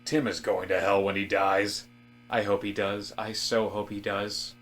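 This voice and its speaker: A man playing a disaffected, rebellious office worker in a comic audio drama, with a halting, slangy, rambling delivery. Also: on the low side, flat delivery, fairly bright tone, soft background music, pitched below the performer's own voice. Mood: glum, musing.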